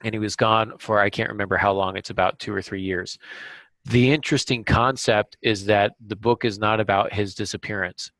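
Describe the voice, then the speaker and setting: A man hosting a writing podcast, explaining things casually in a halting way and often correcting himself. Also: fairly low pitch, steady tone, fairly bright tone, no echo, poor audio. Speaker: podcast host and novelist. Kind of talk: casual craft discussion with examples.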